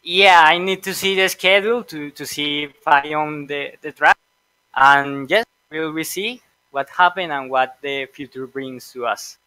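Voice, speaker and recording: A Colombian man whose first language is Spanish, speaking English in a Colombian accent, hesitant and halting as an interviewed sim racer. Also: fairly high pitch, pushed and bright, clear, resonant tone, dry recording, rough recording. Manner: hesitant, halting with many fillers. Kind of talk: post-race interview.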